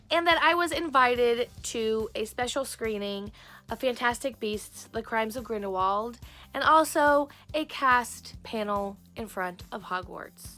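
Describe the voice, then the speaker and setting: Woman, hoarse, excitable, gushing, chatty vlog storytelling, bright sharp tone. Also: fairly high pitch. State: hoarse, losing her voice. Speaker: vlogger.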